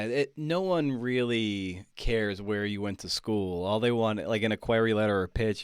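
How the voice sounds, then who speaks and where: A man's voice: lowish voice, smooth, dry recording, studio quality. A man hosting an interview podcast, talking in a conversational, thoughtful and encouraging way. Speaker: podcast host.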